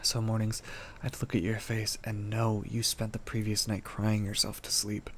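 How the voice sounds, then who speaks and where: A man's voice: lowish voice, completely flat delivery, normal speed, fairly bright tone, a little hiss or hum, natural voice. A man playing an affectionate, playful and protective boyfriend in an intimate roleplay audio drama, talking in a tender, teasing, slightly stammering way. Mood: earnest, protective.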